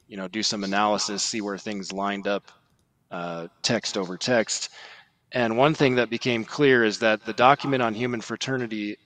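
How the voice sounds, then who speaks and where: A man giving formal, measured religious commentary on a podcast, quoting documents and stressing points as he lists them. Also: fairly low pitch, a bit bright, dry recording.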